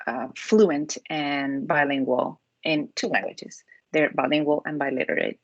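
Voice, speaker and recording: A low-pitched woman from Spain whose first language is Spanish explains a school program over a video call. She pauses often with ums and restarts her sentences. The sound is dry and close. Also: no echo. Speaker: dual language program presenter. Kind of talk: presenting slides on a video call.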